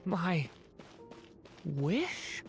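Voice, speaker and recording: A woman voicing a weak, confused young dragon boy in a video game, speaking haltingly over music. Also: fairly low pitch, sing-song, creaky, heavily acted. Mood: lost, anxious. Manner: halting, faltering delivery.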